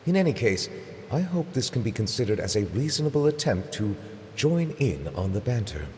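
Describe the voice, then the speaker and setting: An actor playing a stately, dry-humored male judge in a video game, speaking in a formal, precise, measured way. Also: medium pitch, sing-song, darkish tone, a little hiss or hum, lightly acted. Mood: composed.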